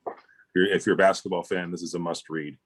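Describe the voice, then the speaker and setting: A man's voice: low voice, flat delivery, quick pace, no echo. A man with an American accent co-hosting a casual chat, talking like an enthusiastic fan and emphatically repeating phrases. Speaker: show co-host.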